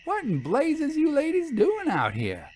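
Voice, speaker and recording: A man's voice: extremely high pitch, wildly swooping pitch, a little mellow, slight background noise, voice pushed up, full character voice. A man voicing a cheerful, folksy young country wife in a storybook reading. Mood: amused, startled. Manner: folksy, teasing, quick to laugh.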